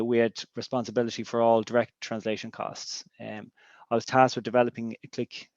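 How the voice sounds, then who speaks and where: Irish man, native Irish speaker, low voice, hesitant webinar presenter, dry and dull audio. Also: dry recording. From Ireland. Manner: hesitant, many ums and restarts. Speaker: business intelligence director.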